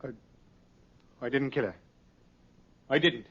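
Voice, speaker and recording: Radio drama suspect: middle-pitched male, jittery, faltering, pleading, muffled vintage sound. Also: slightly rough. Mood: shaken, defensive. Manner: halting, stammering, anxious. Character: nervous, guilty male murder suspect pleading innocence. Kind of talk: 1950s radio drama acting. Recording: dull vintage radio recording.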